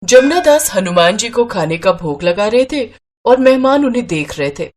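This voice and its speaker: A woman's voice: fairly high pitch, very animated, moderate pace, a little mellow, a little hiss or hum. A woman narrating a scripted story as its storyteller.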